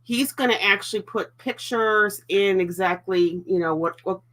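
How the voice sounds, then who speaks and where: A woman's voice: medium pitch, normal speed, a bit bright. A woman co-hosting a casual livestream with a stuffy, nasal voice, chatty and reading out viewer comments. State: stuffy, nasal voice while healing. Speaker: livestream co-host.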